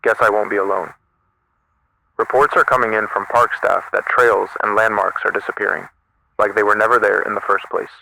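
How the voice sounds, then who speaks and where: A man's voice: lowish voice, little pitch movement, a little fast, creaky, sharp tone, exaggerated voice. A man playing a shaken field reporter in a disaster radio drama, somber and plain-spoken over a radio link. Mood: shaken, bleak. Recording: noisy outdoor radio link.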